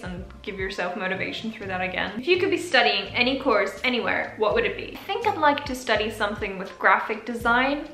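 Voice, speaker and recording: A young woman from Switzerland with an English accent that shifts between American and British, chatting upbeat through a vlog Q&A. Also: medium pitch. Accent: mix of American and British English. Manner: chatty and upbeat, trails off with soft asides. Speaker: YouTuber answering viewer questions. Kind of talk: casual Q&A vlog. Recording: echoey room.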